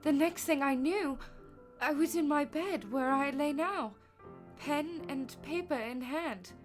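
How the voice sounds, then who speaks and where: A woman playing a sweet, dreamy young Victorian lady in a radio drama, drowsy and drawn-out, with music underneath. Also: high voice.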